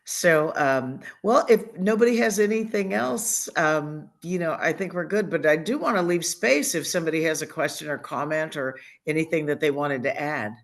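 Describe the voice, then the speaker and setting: A woman's voice: deep voice, moderate pace, few pauses. Chatty woman hosting a video call. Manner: warm-hearted, chatty, many fillers. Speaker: event host. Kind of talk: casual video-call chat.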